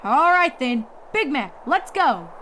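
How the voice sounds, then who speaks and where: A woman's voice: high voice, big pitch swings, slow, background noise, pitched above the performer's own voice, full character voice. A woman voicing a protective, responsible young farm mare, a folksy and bossy but caring big sister in a story. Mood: brisk, directing.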